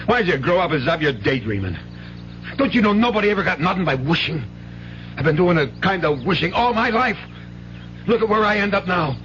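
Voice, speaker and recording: A man's voice: high-pitched, big pitch swings, brisk, fairly bright tone. A muffled, noisy old radio drama recording with hum. a desperate American father and gambler, wheedling and bitter, stumbling and repeating himself as he pleads. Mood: bitter, agitated.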